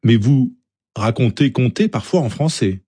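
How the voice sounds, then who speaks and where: A man's voice: mid-range voice, lively intonation, unhurried, dark tone, slightly rough, no room sound, clean studio sound. A man working as an interviewer, putting questions in a conversational way.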